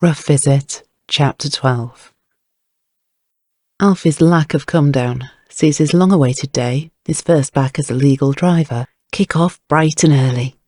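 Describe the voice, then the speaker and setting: A man's voice: a little high, a little slow, a little mellow, no echo, clean studio sound. A man narrating scripted crime fiction as an audiobook, with wry, literary storytelling.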